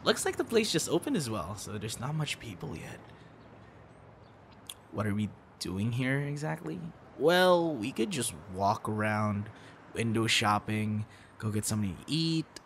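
A man's voice: mid-range voice, very animated, a little slow, a bit bright, a little hiss or hum. A young man acting a teasing, friendly boyfriend figure in an intimate, casual audio roleplay. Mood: casual, playful. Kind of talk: ASMR boyfriend roleplay, intimate one-sided dialogue.

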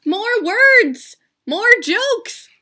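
A woman voicing an excitable, anxious male elephant from a children's picture book, with exclamatory, drawn-out delivery. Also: very high-pitched, pitched above the performer's own voice, exaggerated voice. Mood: panicked. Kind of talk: children's storybook read-aloud.

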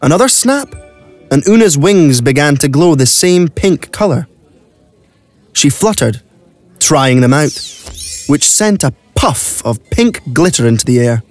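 A man's voice: a little high, sing-song, a little slow, pauses often, faint music behind. A man narrating a scripted audio play as a dramatic storyteller. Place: dry recording studio.

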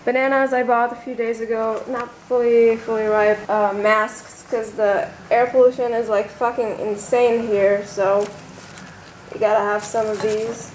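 Young woman chatting slowly, swearing casually. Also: fairly high pitch, flat delivery, a little mellow, echoey room, a little hiss or hum, low-quality recording. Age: 20s. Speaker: travel vlogger. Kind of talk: casual vlog with room tour. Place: small rented room in a residence. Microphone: handheld camera at arm's length.

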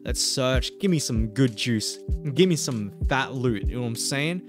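A young man hosting a gaming channel, commentating live on a mobile game as he plays, excitable and jokey, thinking aloud with sudden high yelps. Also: normal speed. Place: small room at a desk. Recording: game music under the voice.

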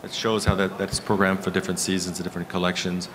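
A man in his fifties giving a conference keynote as an architect, with a halting delivery full of pauses. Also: low-pitched, little pitch movement, a bit bright. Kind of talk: conference presentation with slides. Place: conference hall stage at a lectern. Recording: clear background room noise.

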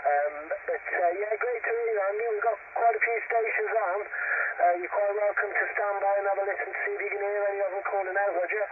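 A man's voice: high voice, steady tone, fast talker. Man, casual radio operator, narrow phone-like band, distant, very noisy transmission. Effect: two-way radio. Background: loud radio static.

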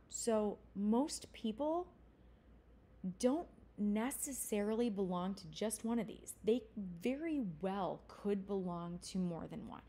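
Woman instructor, mellow tone, casual explanatory lecture style with self-corrections. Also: mid-range voice. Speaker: college instructor. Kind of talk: recorded lecture.

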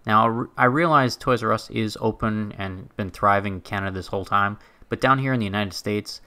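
A man's voice: lowish voice, brisk, pushed and bright. A young man narrating a walking tour for his travel vlog in a casual, chatty way, with fillers and restarts. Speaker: travel vlogger.

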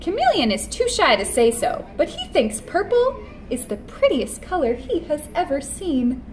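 In a roomy, echoing space with clear background noise, a woman in her thirties talks to preschoolers. Her voice is high and swings expressively up and down as she presents a storytime. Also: moderate pace. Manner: animated, expressive, addressing small children. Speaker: children's museum storytime presenter. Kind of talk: read-aloud and tips for young children. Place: indoor room with painted mural wall.

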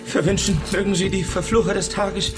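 A man's voice: high-pitched, little pitch movement, a little fast, music in the background, natural voice. An actor playing a deranged man, lost in his own world, solemnly reciting cryptic biblical verses in a crime radio drama. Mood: ominous, fevered.

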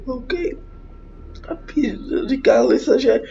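A woman's voice: fairly high pitch, gravelly, mellow. A Czech woman with a cold giving casual, sweary game commentary, reading out dialogue and reacting with exasperation. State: sick with a cold. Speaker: gaming YouTuber. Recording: muffled mic with background noise.

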